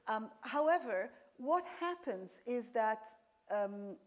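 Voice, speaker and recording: A woman's voice: on the high side, animated, slow pace. Woman lecturer, Serbian native. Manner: explanatory, with frequent fillers and restarts. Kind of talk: answering audience questions after a talk.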